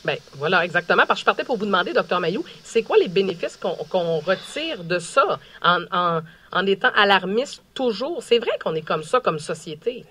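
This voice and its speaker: A woman's voice: medium pitch, lively intonation, normal speed, hardly any pauses, pushed and bright, slightly rough. Quebec woman hosting casual radio chat. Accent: Quebec French. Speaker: radio host.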